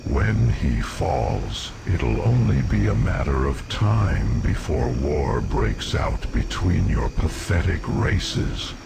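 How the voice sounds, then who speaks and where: A man voicing a gloating, contemptuous demon from a fantasy game, slow, menacing and gravelly, speaking in grand scornful declarations. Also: low voice, sing-song, exaggerated voice. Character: gloating demon prisoner, contemptuous servant of evil. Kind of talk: fantasy game cutscene villain acting. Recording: heavy noise behind the voice.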